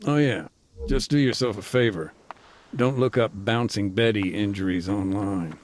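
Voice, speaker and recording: A middle-aged man voicing a frank former combat engineer turned demining worker, measured and matter-of-fact in a game cutscene. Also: fairly low pitch, slight background noise, natural voice. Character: weary male ex-soldier turned deminer, frank. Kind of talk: voiced game cutscene dialogue.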